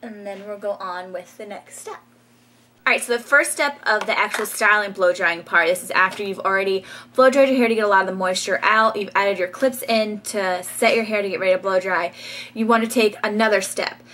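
A woman's voice: mid-range voice, a little fast, fairly bright tone, a little hiss or hum. A young woman, casually talking through a hair tutorial with frequent ums while styling her hair, recorded on a camera mic in a small bedroom. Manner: chatty, frequent ums, light laughs. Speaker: beauty vlogger.

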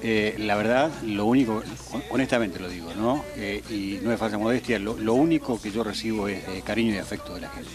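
A middle-aged Argentine man with a fairly low voice, speaking into a handheld mic on a studio couch. He jokes at his own expense and acts out the shouts of passersby. Music plays clearly behind him. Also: a little fast, hardly any pauses. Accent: Argentine Spanish. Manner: joking, self-deprecating, acts out shouts from passersby. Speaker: weather presenter as guest. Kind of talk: casual interview. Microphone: handheld mic held by interviewer.

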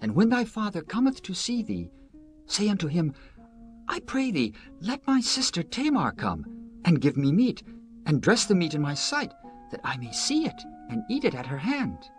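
An actor playing a crafty, scheming friend of a prince in a dramatized audio Bible, insinuating in archaic formal speech. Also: male voice, high-pitched, huge pitch swings, normal speed, faint music behind. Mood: sly instructing.

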